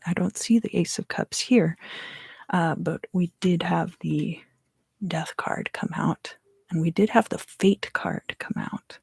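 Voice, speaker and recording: A woman giving an ASMR tarot reading, soft-spoken and often whispering, explaining things unhurriedly in short phrases, close to the microphone. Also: fairly low pitch, takes it slowly, gravelly, breathy edge, dry recording. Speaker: tarot reader and ASMR host.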